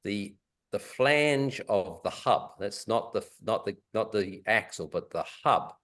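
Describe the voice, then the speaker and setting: A man's voice: lowish voice, expressive, measured pace, fairly bright tone. Older New man, easy laugh. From New Zealand. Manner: laughs easily, plain practical explanations. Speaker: club member answering technical questions. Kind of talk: casual conference call.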